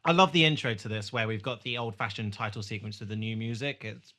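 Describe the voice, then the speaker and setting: Bright, forceful man hosting a podcast. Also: fairly low pitch, fast. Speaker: podcast host. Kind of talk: casual recap chat.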